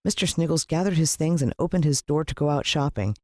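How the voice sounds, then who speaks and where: A woman with an American accent giving a dramatic, expressive scripted narration of a children's story. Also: low voice, fairly quick, no room sound, studio quality. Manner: dramatic, playing a child's first-person point of view.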